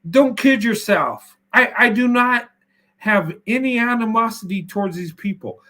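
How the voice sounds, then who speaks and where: Emphatic high-voiced man, stumbling restarts. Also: moderate pace, sharp tone. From United States. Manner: emphatic, many stutters and restarts. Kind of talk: casual political discussion.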